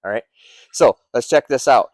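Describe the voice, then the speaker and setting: A man in his fifties talks casually in his workshop, full of "uh"s and restarts but plainly enthusiastic. His voice sits at an ordinary middle pitch, and the sound is close and dry with no room echo. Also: moderate pace, a little mellow. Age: 50s. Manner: rambling, lots of "uh" and restarts, enthusiastic. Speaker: engine builder and shop owner. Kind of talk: casual walkaround of a project car.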